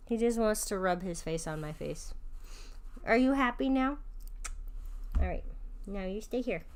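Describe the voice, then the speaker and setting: A woman's voice: fairly high pitch, darkish tone. A woman livestreamer giving casual reactions to a story game in an informal, playful, chatty way. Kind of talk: casual reaction commentary and chat.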